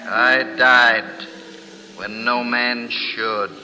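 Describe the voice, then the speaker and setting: An actor playing the menacing ghost of a dead train engineer in an old radio mystery, ominous and taunting. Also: male voice, mid-range voice, steady tone, very slow. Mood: grim accusing. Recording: vintage radio, long cavernous reverb, clear noise.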